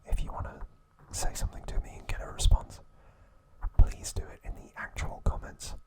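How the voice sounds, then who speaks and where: A man hosting an ASMR channel, whispering trivia softly and gently. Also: low-quality recording. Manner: soft unvoiced whisper, slow and gentle.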